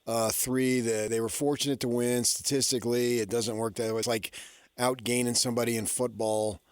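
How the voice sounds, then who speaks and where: A man's voice: medium pitch, level tone, moderate pace, smooth, no echo. A male sports talk host with a mid-range voice in a dry studio sound. He speaks informally, building his argument in short phrases.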